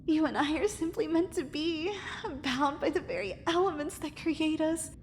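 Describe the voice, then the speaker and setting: A woman's voice: high voice, expressive, normal speed, in a large hall, a little hiss or hum. A woman voicing a possessive, tender mermaid in an ASMR romance roleplay, with formal, flowery, coaxing and intimate speech. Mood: pleading, adoring. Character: possessive, adoring female angler mermaid, dangerous and tender.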